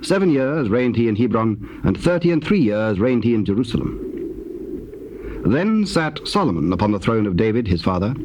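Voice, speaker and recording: A man narrating dramatized scripture in a formal, solemn and measured style. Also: medium pitch, sing-song. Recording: noisy, hissy old cassette tape.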